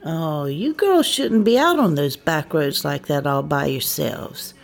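A woman's voice: low voice, expressive, measured pace, creaky, exaggerated voice. An actress playing a motherly small-town diner waitress, folksy and concerned. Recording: audio drama with faint music beneath.

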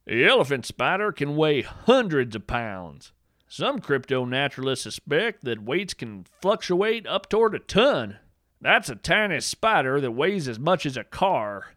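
A man's voice: a little high, bright, forceful tone, no room sound. A man reading a scripted, whimsical nature story in a wry, playful storytelling manner, on a close studio mic. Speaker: podcast narrator.

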